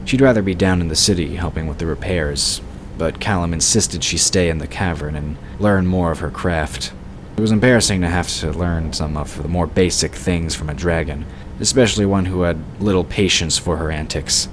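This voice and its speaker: A man's voice: deep voice, normal speed. A man narrating a fantasy story from a script, as the narrator of an audio drama. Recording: clear background noise and ambience.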